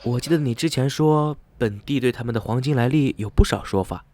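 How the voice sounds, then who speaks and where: Man playing a measured, educated, curious traveller. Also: mid-range voice, a little mellow, close to an everyday voice. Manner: measured, reasoning, inquisitive. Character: educated, rational, curious male traveller, calm and sceptical. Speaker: actor in an amateur student radio drama. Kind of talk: radio drama acting.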